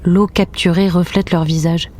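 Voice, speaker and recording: Lowish female voice, uneasy guarded mother, played by an actress, subdued, hesitant, naturalistic radio drama. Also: little pitch movement, moderate pace, darkish tone, natural voice. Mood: introspective. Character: uneasy, guarded young mother leaving home with her child.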